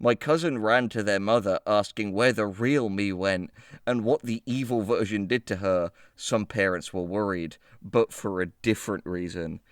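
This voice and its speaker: A man's voice: lowish voice, moderate pace, dry recording, clean studio sound. A man hosting a casual comedy podcast, bantering and reading posts aloud in a sweary, mock-exasperated way. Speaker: podcast host.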